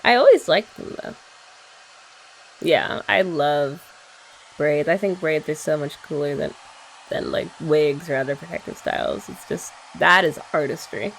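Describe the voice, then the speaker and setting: A woman's voice: low voice, a little slow, slight background noise, rough recording. A young woman in an ASMR girlfriend roleplay, chatting in a playful, teasing way.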